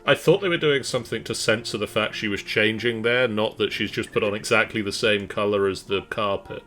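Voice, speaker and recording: A man's voice: on the low side, steady tone, brisk, fairly bright tone, faint music behind. A man co-hosting a game stream, giving casual commentary.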